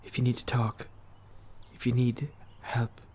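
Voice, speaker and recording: A man playing a caring, guilt-ridden young ex-boyfriend in a radio drama, hesitant, earnest and husky. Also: mid-range voice, completely flat delivery, a little slow, a little mellow, a little hiss or hum, lightly acted. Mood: guilty, tender. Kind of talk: naturalistic radio drama acting.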